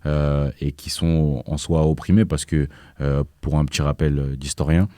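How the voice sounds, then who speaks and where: Young male guest, very low flat voice, dark tone, halting delivery with lots of fillers. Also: no room sound, clean studio sound. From France. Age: young adult. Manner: hesitant, many fillers and restarts. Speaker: guest, activist. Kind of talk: casual interview conversation.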